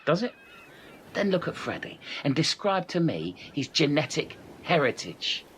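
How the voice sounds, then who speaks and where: Sceptical male castaway played by a man for radio comedy; sarcastic, pedantic, lively intonation, faint noise behind. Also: a little high, a little slow. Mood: correcting. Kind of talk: comic radio play acting.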